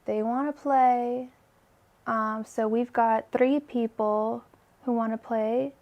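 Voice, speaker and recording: Young woman, tarot livestream host, casual chat, fry in the voice, weak-sounding today. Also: a little high, steady tone, takes it slowly, dull audio. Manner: chatty, filler-heavy, addresses viewers by name. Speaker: tarot reader hosting a livestream.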